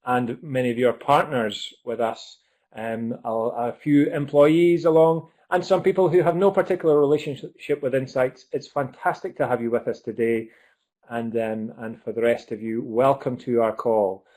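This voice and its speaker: A man's voice: mid-range voice, fairly even intonation, moderate pace, darkish tone. A man with a Scottish accent hosting a live webinar on a video call, chatty and upbeat, with frequent ums and uhs. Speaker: webinar host.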